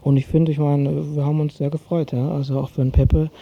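A man's voice: on the high side, little pitch movement, a little fast, gravelly, highs cut off. A man speaking casually as an interviewee, colloquial and hesitant, often adding tag questions. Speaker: interviewee from a local media workshop.

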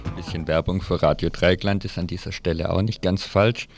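Male radio presenter, semi-casual programme announcements, deep pitch with noticeable vocal fry. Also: fairly even intonation, brisk, loud music behind the voice.

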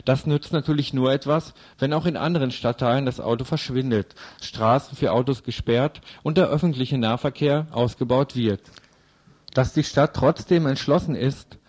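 A man's voice: mid-range voice, normal speed, darkish tone. A man reading a scripted news report and commentary as a radio presenter.

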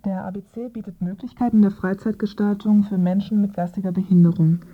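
A woman presents a scripted radio report in the manner of a magazine programme. Her voice sits at an average pitch. The recording is thin and band-limited, almost like a phone line. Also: steady tone, fairly quick.

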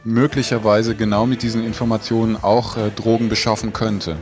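A man's voice: fairly low pitch, little pitch movement, fairly quick, music in the background. A man hosting a radio news magazine, introducing topics and interviewing guests.

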